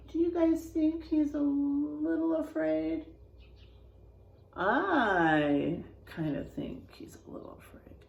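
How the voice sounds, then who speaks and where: Female first-grade teacher, storytime read-aloud, unhurried, very animated delivery. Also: medium pitch. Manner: animated, gentle, asks the children questions. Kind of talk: reading a picture book aloud to a class.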